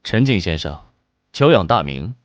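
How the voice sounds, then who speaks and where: A smug cult envoy in a motion-comic drama. His lowish voice is theatrically polite with sweeping intonation, in a dry, slightly dull recording. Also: big pitch swings, moderate pace, no echo. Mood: smoothly polite. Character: suave, smug male envoy of sinister cult.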